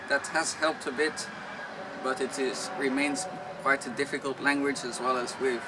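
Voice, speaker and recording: A man with a Dutch accent answering viewer questions in a casual vlog, explaining things in a plain, matter-of-fact way from outdoors on his land. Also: fairly high pitch. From Netherlands. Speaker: vlogger. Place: outdoors on a rural property, wind and traffic. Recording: noisy outdoor recording with wind and traffic. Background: wind and road traffic.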